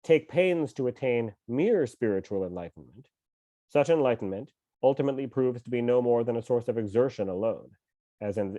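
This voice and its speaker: A man who is mildly ill with a cough reads a scripture translation aloud at home, in a measured, slow recitation with pauses. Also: lowish voice, mellow, dry recording, muffled recording. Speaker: scripture reader and teacher.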